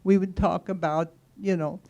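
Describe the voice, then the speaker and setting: A woman's voice: on the low side, steady tone, normal speed, darkish tone, muffled recording. Elderly woman over 80, from rural Kansas, German mother tongue, audience member sharing personal memories. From rural Kansas, United States. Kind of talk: personal reminiscence at a book talk.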